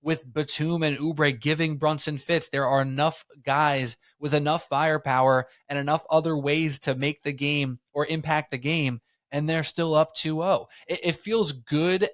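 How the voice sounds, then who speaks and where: Excited male sports podcast host. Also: on the high side, no room sound, studio quality. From United States.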